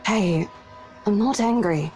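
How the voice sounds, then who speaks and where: A woman's voice: mid-range voice, takes it slowly, a little mellow, natural voice. A young woman voicing a caring, level-headed young real estate agent in a game, speaking in a coaxing, reassuring way. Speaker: character in a visual novel. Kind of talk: game voice acting. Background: faint game music.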